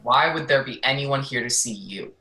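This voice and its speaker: An actor performs a scornful jail officer in an audio drama. The voice is mid-pitched and cuttingly bright. The delivery is brusque and sarcastic, like someone used to bullying inmates. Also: moderate pace, lightly acted. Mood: impatient. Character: gruff, scornful foul-mouthed jail officer. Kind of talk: audio play acting.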